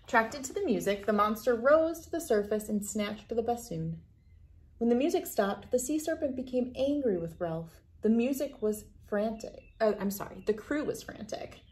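A woman's voice: on the high side, lively intonation, moderate pace, darkish tone. Woman reading a children's storybook aloud. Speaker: children's book read-aloud host. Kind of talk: storybook read-aloud narration. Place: small wood-panelled room indoors.

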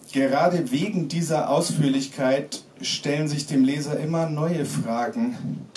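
A man in his forties from Germany reading his comic essay live with deadpan, theatrical mock gravity. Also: on the high side. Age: 40s. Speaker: author reading his own satirical text. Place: small pub-like room with audience, indoors. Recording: roomy, distant mic, clearly noisy background.

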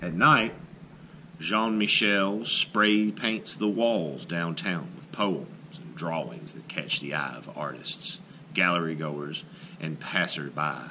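Male storybook reader, lowish and forceful, dramatic phrasing with deliberate pauses. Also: slight background noise. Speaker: art teacher reading a picture book aloud. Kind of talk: expressive children's picture book read-aloud.

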